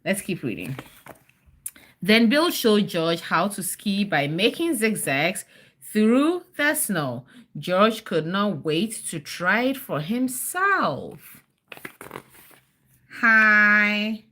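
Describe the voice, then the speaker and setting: A woman's voice: medium pitch, very animated, very slow, sharp tone. A mother reading a children's storybook aloud on a livestream, chatting with viewers and encouraging her child with prompts and questions.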